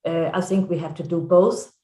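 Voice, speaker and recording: A woman with a Danish accent speaks on a panel in a fairly low voice, the room echoing around her. She talks off the cuff and haltingly, often searching for the next word as a native Danish speaker. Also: steady tone, dark tone. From Denmark. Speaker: farmers' council vice president, panel speaker. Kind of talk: unscripted panel remarks. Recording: echoey room.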